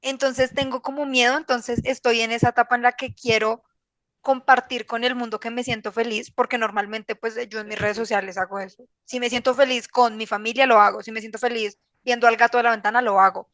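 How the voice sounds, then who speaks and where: A woman's voice: fairly high pitch, steady tone, a little fast, a bit bright, no echo. A woman with a Colombian accent talking casually as a podcast guest, candid, slangy and rambling. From Bogotá, Colombia. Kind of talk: casual personal conversation.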